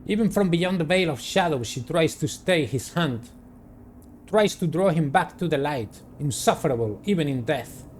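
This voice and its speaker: A man's voice: on the high side, expressive, normal speed, natural voice. A man voicing a bitter, scornful female vampire in a gothic game, with a hissing, disdainful manner.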